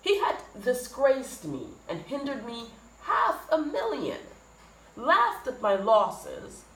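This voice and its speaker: A woman plays a bitter, wronged merchant in a Shakespearean monologue, fierce and impassioned. The pitch climbs high and swings widely through emphatic questions. The room rings with echo. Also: pushed and bright, heavily acted. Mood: bitter, aggrieved. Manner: emphatic rhetorical questions, hammered lists of grievances. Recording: echoey room with faint noise.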